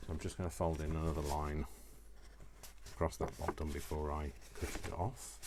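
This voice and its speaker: Deep-voiced man rambling through a craft tutorial. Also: flat delivery, moderate pace. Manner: rambling, self-deprecating, jokey asides. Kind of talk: casual, chatty craft demonstration. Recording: noticeable background noise, small clicks.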